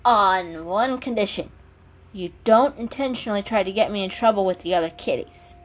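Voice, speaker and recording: A woman's voice: medium pitch, moderate pace, natural voice. A woman voicing a teasing, mischievous gray male cat in a storybook, with a casual, cheeky manner. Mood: frank.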